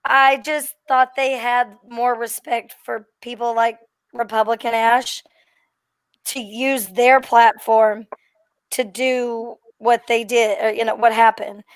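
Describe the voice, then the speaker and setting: Hoarse higher-voiced woman on a dry mic. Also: level tone, takes it slowly, dry recording. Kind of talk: live stream panel discussion.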